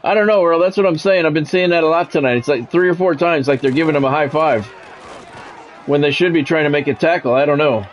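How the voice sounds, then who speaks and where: Higher-pitched man calling a football game. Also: quick pace, a little hiss or hum. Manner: salty, swears casually, addresses viewers mid-call. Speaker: streamer playing a football video game and calling the play-by-play. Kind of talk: sports play-by-play mixed with casual stream chat.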